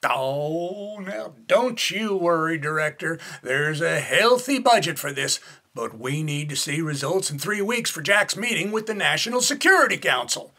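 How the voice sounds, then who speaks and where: Gruff colonel, radio play, commanding, impatient, bright forceful tone, wildly swinging pitch. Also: male voice, expressive, normal speed. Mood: demanding. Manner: blustery, commanding. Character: gruff, impatient, blustering male military colonel, demanding results. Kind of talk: comedic radio play acting.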